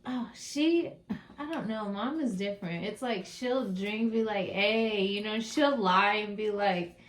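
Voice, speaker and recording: A woman's voice: medium pitch, a little slow. Teasing young woman reading questions. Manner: teasing, laughing, animated. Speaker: guest question reader, daughter of a host. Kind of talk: casual podcast chat.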